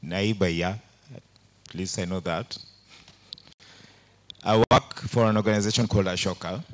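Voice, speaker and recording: A man whose first language is Luo, speaking at a panel and telling reflective stories with pauses and fillers. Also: low voice. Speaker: panelist from a social entrepreneurship organization. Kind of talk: panel talk to a live audience.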